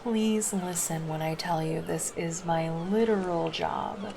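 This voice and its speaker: Slow-talking, creaky, caring lifeguard woman, acted out by a woman. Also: lowish voice, steady tone. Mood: soothing, reassuring. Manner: soft, intimate, casual, reassuring. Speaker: roleplay voice performer. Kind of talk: roleplay ASMR acting. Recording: background noise under the voice.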